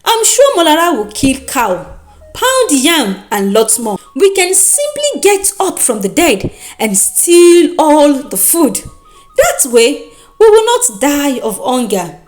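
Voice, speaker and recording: A woman's voice: high voice, huge pitch swings, slow pace, darkish tone, faint music behind, heavily acted. A woman with a Nigerian accent voicing a scheming, hungry old village father in a folktale, plotting slyly.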